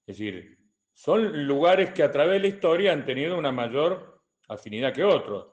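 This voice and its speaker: An older man with an Argentine accent answering questions as a political commentator, in a deliberate, didactic way with emphatic repetition. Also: a little high, big pitch swings, dull audio. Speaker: retired colonel and political commentator.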